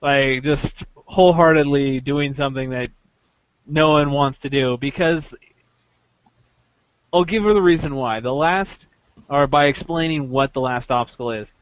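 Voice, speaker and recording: A man hosting a podcast, casually recounting an experience in a halting way, often interrupting himself as he goes. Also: mid-range voice, clear, resonant tone, no echo, lo-fi sound. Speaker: podcast host telling a story.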